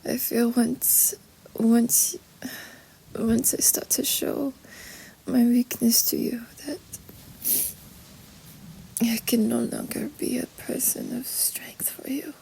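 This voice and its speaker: A woman playing a vulnerable young French roommate in an intimate roleplay audio, speaking in a breathy, halting, tender way with a French accent. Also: a little high, steady tone, dark tone, rough recording. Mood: tearful, hesitant. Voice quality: breathy, whispery.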